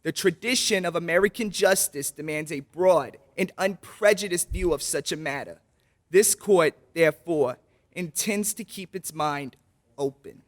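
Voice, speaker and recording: A man's voice: fairly high pitch, measured pace, close to an everyday voice. A man playing a stern, pompous courtroom judge in an old-time radio drama, formal and declamatory. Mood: solemn.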